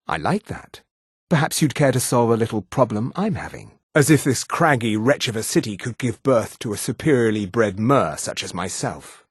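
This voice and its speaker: Pompous high elf official, swooping delivery. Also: male voice, slightly rough, no room sound, clean studio sound. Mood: smug, condescending. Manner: pompous, condescending, precise. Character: haughty male high elf official, supremacist and sneering. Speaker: video game character. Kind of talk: scripted fantasy game dialogue.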